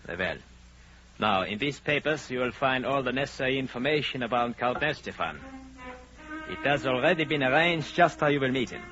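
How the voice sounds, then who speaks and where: An actor playing a high-ranking spymaster in a 1930s radio drama, formal, deliberate and commanding, on a dull, noisy old recording. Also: male voice, animated, over music, close to an everyday voice. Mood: commanding, businesslike. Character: authoritative, secretive male spymaster of high rank.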